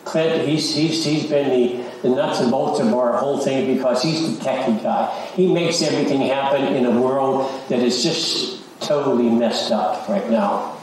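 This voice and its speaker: A middle-aged or older man addressing a church congregation as a lay leader, halting and repeating words. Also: medium pitch, a little mellow. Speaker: church lay leader and search committee chair. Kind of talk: church announcement and short devotional to a congregation. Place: large church sanctuary, indoors. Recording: echoey church, distant microphone, background noise.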